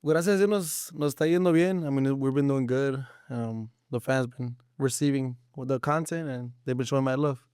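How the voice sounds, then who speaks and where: A man's voice: mid-range voice, moderate pace, few pauses, a little mellow, smooth, no echo, studio quality. A man of about twenty, chatting casually as an interview guest in slangy English mixed with Spanish. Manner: slangy, informal, frequent swearing.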